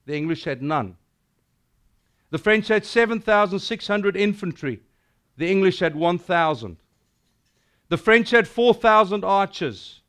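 A middle-aged South African man preaching in a small indoor hall, his voice sitting high and carrying with a smooth, resonant ring. He speaks in a lively, declamatory way, building his sermon toward grand moments. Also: unhurried, long pauses. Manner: animated and declamatory, gesturing widely. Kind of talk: sermon with dramatic recitation.

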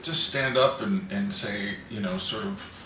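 A man in his forties, dry and teasing, chatting with a friend on a couch. His voice is bright and a little sharp, and it sits back from the mic in a roomy, echoey living room. A faint hiss runs under it. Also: fairly low pitch, measured pace, distant mic. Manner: dry, wry and teasing, reads ads aloud. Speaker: co-host. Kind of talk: casual chat. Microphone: camera a few feet away.

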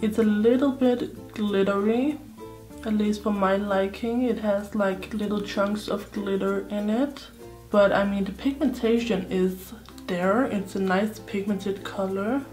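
A young woman with a slightly hoarse voice, chatting casually through a makeup tutorial at home. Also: medium pitch, steady tone, measured pace, a little mellow, soft background music. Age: young adult. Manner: chatty, thinking aloud while applying makeup. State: slightly hoarse, sore throat. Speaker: beauty vlogger. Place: indoor room at home. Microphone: close camera facing her.

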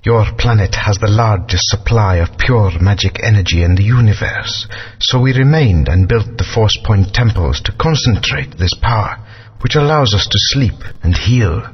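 An actor playing a stern, grave elder of an ancient alien race in a video game, speaking in a measured, authoritative way. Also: male voice, lowish voice, expressive. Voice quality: husky. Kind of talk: game voice acting. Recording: dull lo-fi game audio.

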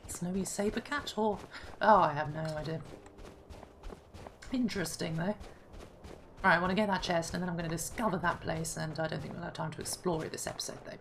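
A woman hosting a casual let's-play, chatting and thinking aloud, her voice slightly hoarse. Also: on the low side, slight background noise. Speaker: gaming channel host. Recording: clear game music behind.

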